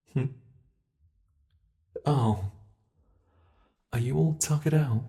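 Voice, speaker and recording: A British man voicing an ancient, cruel male monster that hunts humans, slow and relishing, in an ASMR horror roleplay. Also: fairly low pitch, vocal fry. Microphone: close mic.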